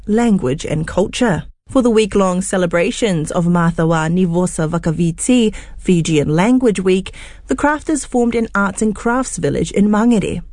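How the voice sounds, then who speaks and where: A woman presenting the news in a smooth, even scripted reading. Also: medium pitch, no echo.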